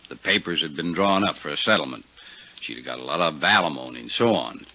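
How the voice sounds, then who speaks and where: An actor playing a folksy, easygoing older small-town Western sheriff in a 1950s radio drama. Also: male voice, rumbling low, lively intonation, a little fast, heavily acted. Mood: frank, reflective. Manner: folksy, drawling out hesitations. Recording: dull 1950s radio recording.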